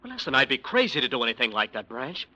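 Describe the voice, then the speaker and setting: A man's voice: medium pitch, big pitch swings, quick pace, pushed and bright, exaggerated voice. An actor playing a nervous, defensive male lawyer in a 1940s radio drama. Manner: jittery, defensive.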